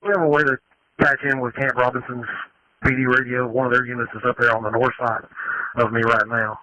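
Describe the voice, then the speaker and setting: A male police officer speaks through a two-way radio in quick, urgent bursts. The tone is extremely bright and hard, fairly flat in pitch, with a dry, close sound. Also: no room sound, rough recording.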